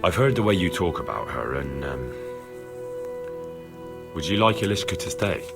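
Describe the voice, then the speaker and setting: A man's voice: sits low, lively intonation, normal speed, gravelly, music in the background. A man playing a plain-spoken, dutiful young blacksmith's son in a video game cutscene. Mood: gentle, hesitant. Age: young adult.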